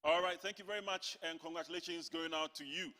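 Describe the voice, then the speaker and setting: A man hosting an online awards ceremony, announcing winners in a formal, measured way and calling them on air. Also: a little high, fairly even intonation, bright, forceful tone.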